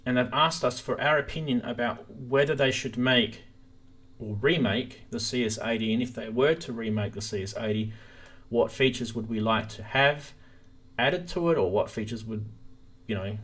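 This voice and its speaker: A man's voice: mid-range voice, moderate pace, a bit bright. Casual, enthusiastic man hosting a live stream. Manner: informal, enthusiastic, addressing viewers directly. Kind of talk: casual live stream chat about synthesizers.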